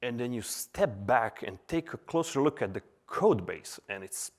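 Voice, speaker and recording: A man's voice: mid-range voice, expressive, moderate pace. Casual Serbian man giving a conference talk. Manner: casual, chatty with filler words. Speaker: conference speaker. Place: conference hall.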